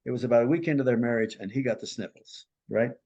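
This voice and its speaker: Man, family therapist, medium-pitched voice, storytelling, acts out the people he quotes. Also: quick pace, mellow, dull audio. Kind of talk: storytelling a counseling case.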